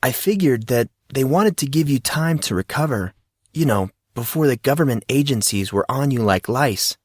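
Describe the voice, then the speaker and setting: A man's voice: mid-range voice, expressive, moderate pace, no echo, clean studio sound, pitched above the performer's own voice, natural voice. A man voicing a chatty, well-informed teenage schoolboy in an audiobook, explaining things casually and eagerly. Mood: apologetic.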